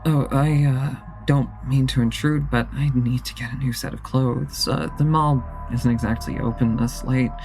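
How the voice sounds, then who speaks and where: A woman's voice: a bass voice, fairly even intonation, normal speed, hardly any pauses, darkish tone, soft background music. A woman playing a nervous, polite young vampire in an audio drama, hesitant and stammering. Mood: meek, hesitant.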